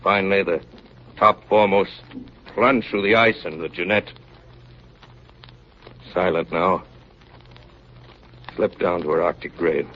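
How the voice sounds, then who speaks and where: An actor playing a grave chief engineer in solemn, measured 1930s radio drama style, recounting an Arctic disaster. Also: male voice, sits low, steady tone. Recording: muffled, noisy 1930s radio broadcast recording.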